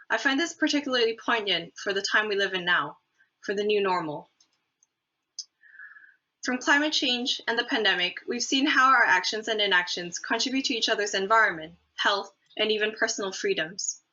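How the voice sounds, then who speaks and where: A young woman from Hong Kong, an awards finalist, giving an earnest, measured prepared speech. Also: mid-range voice, bright, forceful tone. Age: young adult. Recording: dull lo-fi audio.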